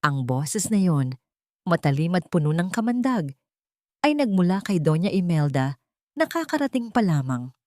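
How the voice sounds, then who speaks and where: A woman with a fairly low voice reads a dramatic audiobook story. Her delivery is solemn and melodramatic. The recording is dry and close, with little room sound. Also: big pitch swings, moderate pace, warm tone, no room sound. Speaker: audiobook narrator. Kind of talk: dramatic scripted story narration.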